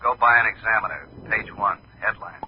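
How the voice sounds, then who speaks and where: An actor playing a cryptic caller in a 1950s radio drama, speaking in clipped phrases. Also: male voice, fairly low pitch, fairly quick, lightly acted. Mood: cryptic, urgent. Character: cryptic male caller giving a tip. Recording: muffled, noisy old radio telephone scene.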